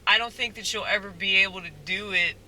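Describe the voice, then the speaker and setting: Soft spoken guy rambling on, lots of um and like, really bright tone. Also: high-pitched, steady tone, a little hiss or hum, poor audio. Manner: halting, soft-spoken, full of fillers. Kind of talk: rambling casual monologue.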